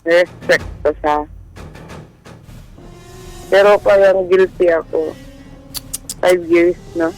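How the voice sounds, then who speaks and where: A woman's voice: fairly low pitch, steady tone, slow pace, long pauses, a little mellow, soft background music, low-quality recording. Hesitant Filipino woman calling a radio show. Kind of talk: casual personal confession.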